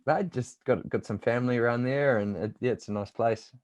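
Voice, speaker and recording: A man's voice: medium pitch, brisk, a little mellow. A man with a New Zealand accent in casual conversation as an interviewer and coach, informal and matey.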